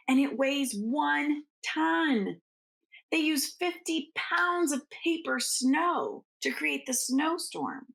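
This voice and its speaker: A woman's voice: fairly high pitch, creaky, low-quality recording. A woman reading a storybook aloud to children like a schoolteacher, in an informal way. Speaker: teacher reading aloud to students.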